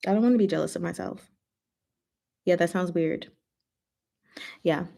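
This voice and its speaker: A woman hosting a livestream, chatting casually and playfully with her viewers in an animated, slangy way. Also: fairly low pitch, moderate pace, gravelly. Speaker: livestream host and book reader.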